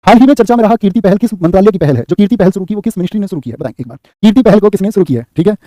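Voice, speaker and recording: Male coach, high voice, very fast repeating quiz delivery. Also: expressive, mellow, dry recording, studio quality. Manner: rapid, repeats answers and asks "what will you say?". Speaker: exam coaching teacher. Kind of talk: live online class, quizzing students.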